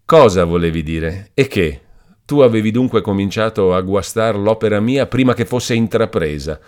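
Brief: solemn older friar, grave and firm, raised deep voice, as a male narrator voices him. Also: normal speed, a little mellow, lightly acted. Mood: stern. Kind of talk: audiobook character voice.

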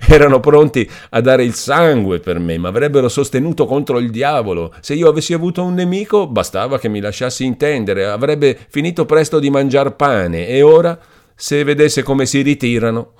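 A man's voice: medium pitch, animated, normal speed, a little mellow, smooth, voice pushed up, natural voice. A man reading an audiobook voices an impulsive, agitated young working man who speaks emotionally and stumbles over his words. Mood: excited. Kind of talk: audiobook character voice.

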